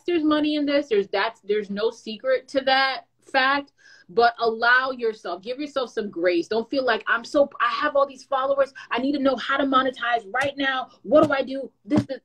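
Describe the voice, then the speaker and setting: A woman who is a content creator and brand manager, giving advice in a lively, chatty way with playful asides. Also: high-pitched, normal speed, low-quality recording. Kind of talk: casual advice talk.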